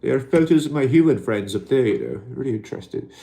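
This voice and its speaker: A Welsh man chatting on a livestream from home in a soft, unhurried, reflective way, often pausing on "you know". His voice is mellow and warm, at an ordinary middle pitch. He reads viewers' comments aloud and answers them calmly. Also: vocal fry. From Wales. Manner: gentle, reflective, many "you know" fillers. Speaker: livestream host in an alien costume. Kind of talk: casual livestream chat reading comments.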